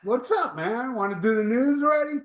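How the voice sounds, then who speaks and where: A man's voice: extremely high pitch, lively intonation, fairly quick, reverberant. A man of sixty co-hosting a casual rock podcast, with teasing, joking banter. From South Florida. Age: 60s. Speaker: podcast co-host. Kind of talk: casual chat.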